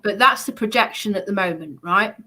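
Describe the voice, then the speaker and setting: A British woman presenting informally, reading a list aloud in a halting, explanatory way and adding casual asides. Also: medium pitch, sharp tone. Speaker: presenter going through party policies.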